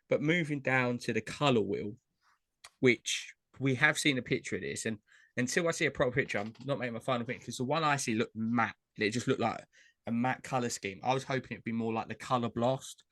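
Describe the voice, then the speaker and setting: A man talks casually on a podcast, rattling through lists of names with plenty of fillers. His voice sits at a middle pitch. The recording is dry and close, with no room sound. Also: fairly bright tone, no echo. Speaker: podcast co-host. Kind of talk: casual chat about trading cards.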